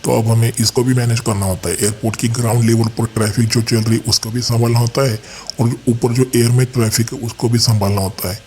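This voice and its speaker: A man's voice: mid-range voice, steady tone, fairly quick, few pauses, mellow, a little hiss or hum. An Indian man hosting a gaming channel, giving casual gameplay commentary and explaining things to viewers as his friends.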